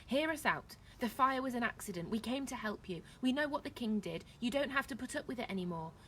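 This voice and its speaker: A woman's voice: on the high side, animated, brisk, fairly bright tone, slight background noise, voice pushed up. A woman voicing an earnest storybook boy who reasons and pleads urgently. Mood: urgent persuasion.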